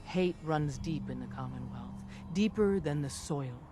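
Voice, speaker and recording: An actor playing an eloquent, solemn veteran resistance operative in a game, speaking in a measured, florid way. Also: male voice, fairly high pitch, lively intonation, dark tone. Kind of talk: game cutscene acting. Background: music.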